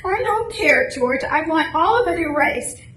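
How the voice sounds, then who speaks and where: An echoey, noisy room recording of a woman acting as a high-voiced radio-comedy wife. She is devoted yet exasperated, and her pitch swings widely as she exclaims. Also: lively intonation, measured pace. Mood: exasperated, demanding. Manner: exclamatory, incredulous questioning. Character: doting wife turning exasperated and indignant at her husband. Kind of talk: comic radio-play acting. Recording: roomy, echoey live recording, clear background noise.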